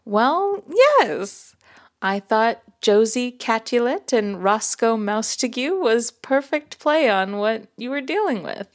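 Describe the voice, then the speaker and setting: A woman's voice: a little high, very animated, takes it slowly. A woman voicing a playful mother who tells her grown child a comforting tale. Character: warm playful mother telling a bedtime tale.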